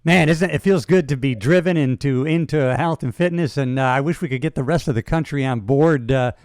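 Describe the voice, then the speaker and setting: A man's voice: mid-range voice, fairly quick, darkish tone, no room sound, clean studio sound. Enthusiastic man host in his sixties. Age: 60s. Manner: enthusiastic, buddy-like, calls guest brother. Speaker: podcast host. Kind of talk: casual interview talk.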